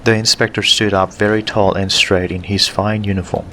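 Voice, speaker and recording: Very deep-voiced male audiobook narrator. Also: flat delivery, normal speed, a bit bright, slight background noise. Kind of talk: scripted story narration.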